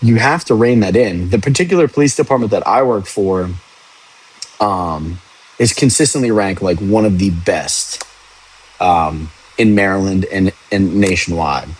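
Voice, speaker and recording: A man's voice: sits low, unhurried, breathy edge, poor audio. A young man who works as a police officer, chatting casually and frankly on a livestream, rambling and swearing now and then. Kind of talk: casual livestream chat answering viewers.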